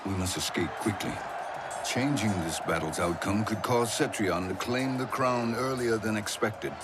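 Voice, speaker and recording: Guy acting a serious urgent ally in a game cutscene, kinda low, music blasting behind. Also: moderate pace, close to an everyday voice. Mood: grave urgency. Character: grave, urgent ally plotting an escape. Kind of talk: cinematic video game acting.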